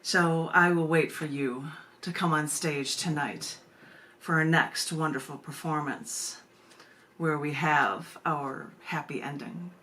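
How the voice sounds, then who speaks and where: A woman's voice: deep voice, fairly even intonation, slow pace, pushed and bright, slight background noise. A woman who hosts a virtual poetry open mic, speaking informally as she shares and reads her poems. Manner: informal, giggly, digressive asides in chat. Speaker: poet, open mic host. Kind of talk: chatty hosting of a virtual poetry reading, with poems read aloud. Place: virtual meeting, live video stream.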